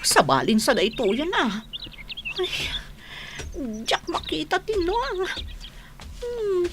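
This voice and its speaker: A woman's voice: medium pitch, fairly bright tone. A woman with an Ilocano accent playing a worried, nagging farmer's wife in a dramatic radio play. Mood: worried, complaining. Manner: exasperated, scolding, dramatic.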